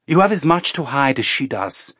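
A man's voice: medium pitch, sing-song, moderate pace, fairly bright tone, husky, no room sound, studio quality, pitched above the performer's own voice. Man reading as blunt, frustrated crewwoman. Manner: blunt, informal. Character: frustrated, outspoken woman spaceship crew member. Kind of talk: audiobook character acting.

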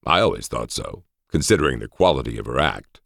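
A man's voice: very deep voice, sing-song, brisk, vocal fry, a bit bright, no room sound, heavily acted. A man voicing a wry scout in an audiobook, needling an old friend with dry understatement. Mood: teasing. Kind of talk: audiobook character voice.

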